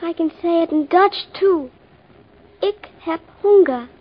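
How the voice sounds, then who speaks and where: An actress playing a small, hungry little girl in a dream, pleading in 1940s radio style. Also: unhurried. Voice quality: high, soft and childlike. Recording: muffled old radio recording.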